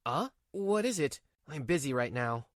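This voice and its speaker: A woman's voice: rumbling low, lively intonation, moderate pace, dry recording, studio quality. A woman voicing a selfish, irritable cheating husband with a dismissive manner in a manga dub. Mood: curt. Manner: dismissive, snappy when challenged. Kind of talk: manga dub character acting.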